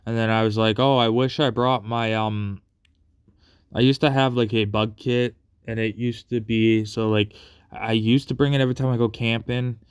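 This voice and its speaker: A man telling a casual personal story in a rambling, halting way, often repeating himself as a video creator would in a voice-over. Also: medium pitch, steady tone, clean tone, no echo, studio quality.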